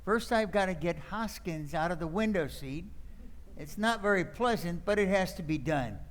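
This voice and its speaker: A man's voice: sits high, expressive, normal speed. A man playing a flustered, exasperated nephew in a radio play, agitated and incredulous. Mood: wary muttering. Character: flustered, exasperated nephew of two batty aunts.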